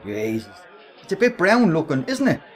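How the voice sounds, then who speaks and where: A cocky Dublin hard man in a radio play, slightly high in pitch and swinging widely in intonation. He needles and bullies in slangy, menacing banter. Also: huge pitch swings, moderate pace, some chatter behind. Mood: cocky menace. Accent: Irish, Dublin. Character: brash, bullying working-class Irish man, menacing drinker. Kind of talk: radio play acting in colloquial dialogue.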